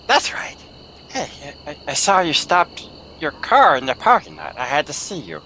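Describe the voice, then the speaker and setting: A woman's voice: sing-song, normal speed, a little hiss or hum, exaggerated voice. A woman playing a menacing, volatile circus tiger tamer in a theatrical old-time radio drama style. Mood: sly, probing. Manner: theatrical, swooping between hushed menace and shrill gushing. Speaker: amateur voice actor in live table read.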